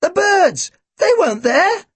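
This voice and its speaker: An excitable, dim detective from a storybook. His voice jumps high and squawky, as if always close to panic. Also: measured pace, dry recording, exaggerated voice. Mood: alarmed.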